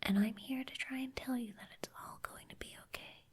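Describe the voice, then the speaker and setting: A woman voicing a teasing but caring young cactus girl in an ASMR roleplay, soft and slightly husky, blunt yet encouraging. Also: high voice, fairly even intonation, brisk, close to an everyday voice. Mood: hushed, earnest.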